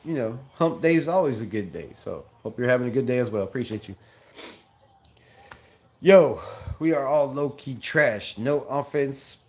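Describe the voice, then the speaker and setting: A man's voice: medium pitch, animated, normal speed, a little mellow. A young man from Southern California hosting a casual fan livestream, talking loosely in slang and repeating himself as he reads chat comments. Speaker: fan channel livestream host.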